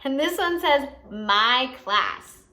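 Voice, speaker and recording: A woman's voice: sits high, lively intonation, takes it slowly, bright, forceful tone. A woman hosting a lesson for young children, explaining things simply and expressively and putting questions to the listener. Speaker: children's teacher and show host.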